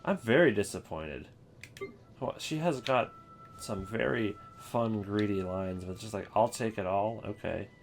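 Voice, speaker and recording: A man's voice: lowish voice, unhurried, vocal fry, faint music behind. A man hosting a video game ranking, giving wry, opinionated commentary in a casual, improvised way.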